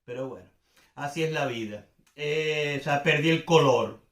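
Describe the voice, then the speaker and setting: A man's voice: fairly high pitch, normal speed. An older man from Venezuela with a Venezuelan accent, giving informal commentary off the cuff, searching for words and repeating phrases for emphasis. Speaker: commentator and host. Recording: echoey, reverberant room.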